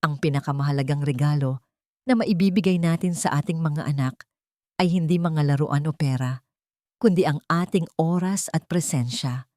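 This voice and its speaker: A woman's voice: low-pitched, normal speed, warm tone, no room sound, clean studio sound. A woman giving an earnest, scripted dramatic narration as a sentimental storyteller. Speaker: storyteller and channel host.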